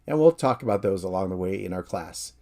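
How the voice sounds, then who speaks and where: A middle-aged American man, a professor from San Francisco, giving a calm, friendly, measured course introduction. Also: sits low. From San Francisco, USA.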